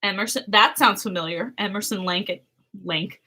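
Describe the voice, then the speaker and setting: A woman's voice: medium pitch, normal speed, pushed and bright. A woman chatting casually with her sister on a livestream, rambling and giggling as she reads out viewer comments. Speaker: livestream co-host. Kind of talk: casual livestream chat with a sibling.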